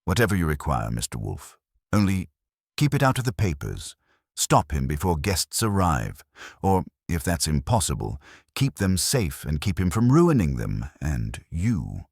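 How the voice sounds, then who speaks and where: A man voicing an anxious, well-bred wife in a detective story, husky, earnest and polite. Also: low voice, wildly swooping pitch, measured pace, no room sound, studio quality, exaggerated voice. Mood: pleading.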